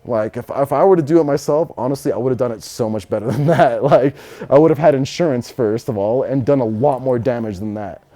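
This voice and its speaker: A man's voice: medium pitch, a little fast, hardly any pauses, dark tone. A man in his twenties with an American accent, answering interview questions casually in blunt, slangy speech with some swearing. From Los Angeles, United States. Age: 20s. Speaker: interviewee, business owner.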